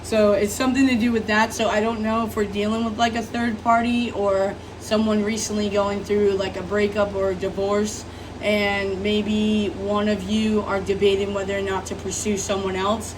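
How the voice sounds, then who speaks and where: A woman with a rough, hoarse voice from being sick, giving a casual, rambling tarot reading as host of her channel. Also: medium pitch, little pitch movement, moderate pace, far from the mic, noisy background. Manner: rambling, apologetic, many fillers. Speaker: tarot reader.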